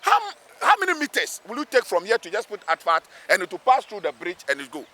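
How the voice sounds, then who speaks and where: A man's voice: high voice, wildly swooping pitch, fast, bright, forceful tone. A man with a Ghanaian accent speaking emphatically as a local assemblyman in an outdoor interview, repeating phrases for weight. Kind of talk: spoken interview, pleading advocacy. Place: outdoors beside a road underpass.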